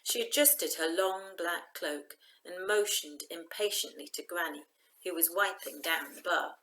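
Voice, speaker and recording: A woman reading a children's novel aloud in an expressive, emphatic storytelling style. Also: fairly low pitch, unhurried, rough recording.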